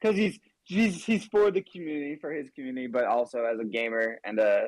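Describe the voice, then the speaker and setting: A man's voice: medium pitch, a little fast, a little mellow, dry recording, rough recording. A man hosting a casual interview, friendly and rambling with many fillers. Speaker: interview host.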